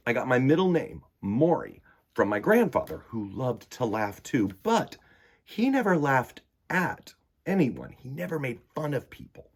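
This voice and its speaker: A man's voice: medium pitch, big pitch swings, a little slow, husky. A man reading a children's picture book aloud expressively, with dramatic delivery and conversational asides. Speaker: storytime host reading aloud.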